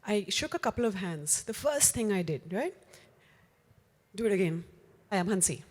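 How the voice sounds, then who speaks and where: A woman's voice: medium pitch, very animated, moderate pace, darkish tone, in a large hall. A woman with an Indian accent giving a live talk to an audience, direct and coaching, slipping into Hindi phrases. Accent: Indian, with Hindi mixed in. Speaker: workshop speaker. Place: large room before a live audience.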